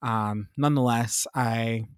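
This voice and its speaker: A young man chats through his solo podcast with a stuffy, congested voice, upbeat and quick to poke fun at himself. His voice sits at an ordinary middle pitch. It is recorded close and dry, with no room sound. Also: fairly even intonation, clear, resonant tone, no room sound. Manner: chatty, upbeat, self-mocking asides. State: congested, clearing throat. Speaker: podcast host. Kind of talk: casual solo podcast chat.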